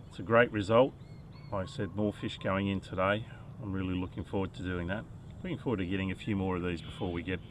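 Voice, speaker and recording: A man's voice: deep voice, slight background noise. Chatty Australian man fishing from a kayak. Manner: relaxed, chatty, muttering to the fish while fighting it. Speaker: fishing vlogger. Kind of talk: casual fishing vlog narration. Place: kayak on a reservoir outdoors. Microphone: head-mounted action camera.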